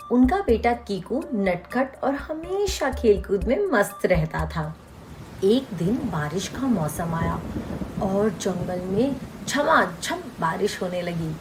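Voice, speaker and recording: A woman reading a scripted children's story as its narrator. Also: mid-range voice.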